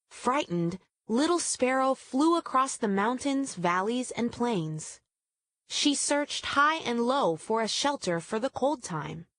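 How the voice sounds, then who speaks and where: A woman storyteller reading a scripted children's story aloud in deliberate, measured phrases. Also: on the high side, no echo.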